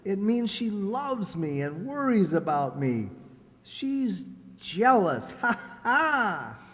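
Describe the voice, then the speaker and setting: A man's voice: high voice, big pitch swings, slow, fairly bright tone, full character voice. A man playing a jealous, blustering husband in an old-time radio sitcom, with a theatrical delivery. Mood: smug, gleeful. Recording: echoey hall.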